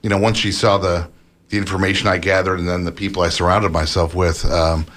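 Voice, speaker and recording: Male author guest, low-pitched, conversational, feels his way through answers. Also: flat delivery, fairly quick, fairly bright tone. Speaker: author guest, food history researcher.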